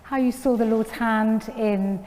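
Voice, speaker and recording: A woman interviewing a guest on stage, asking gentle, encouraging questions. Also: on the high side, fairly even intonation, a little slow. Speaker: interviewer at a women's conference. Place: church hall. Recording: echoey hall with faint noise.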